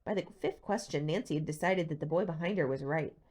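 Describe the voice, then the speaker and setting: A woman reading a teen mystery novel aloud as expressive scripted narration. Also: low voice, fast talker. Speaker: audiobook reader.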